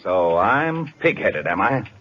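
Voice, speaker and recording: A man's voice: lowish voice, sing-song, slow pace, exaggerated voice. An actor playing an indignant, pompous male club owner, haughty and mocking, in old radio drama style. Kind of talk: 1940s-50s radio drama acting. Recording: dull lo-fi old radio broadcast.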